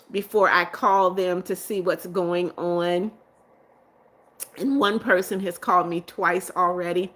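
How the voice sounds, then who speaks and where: Brief: middle-aged woman host, chatty livestream, reads and answers viewer comments. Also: medium pitch. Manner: reads comments aloud and answers viewers warmly, laughs often. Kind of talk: casual livestream chat reading viewer comments.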